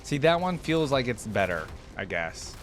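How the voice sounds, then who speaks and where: A man's voice: medium pitch, very animated, normal speed, over music. A man giving casual reaction commentary as a host, conversational and thinking aloud.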